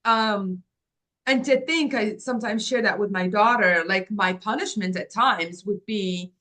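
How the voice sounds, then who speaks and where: A woman's voice: mid-range voice, a little slow, fairly bright tone. A woman in her fifties from Morocco, telling her life story as an interview guest in an earnest, reflective way with many "um"s and "like"s. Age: 50s. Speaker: guest, agency founder.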